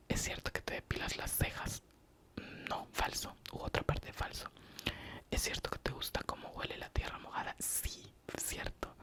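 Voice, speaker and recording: A man from Oaxaca with a Mexican accent, whispering an ASMR question-and-answer session with short true-or-false replies. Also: pushed and bright. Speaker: ASMR youtuber.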